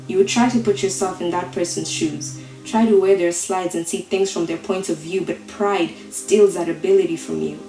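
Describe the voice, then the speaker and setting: Echoey, low-pitched woman reciting slam poetry. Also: warm tone. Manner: deliberate, rhetorical delivery with dramatic pauses. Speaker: slam poet. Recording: roomy echo, faint music behind.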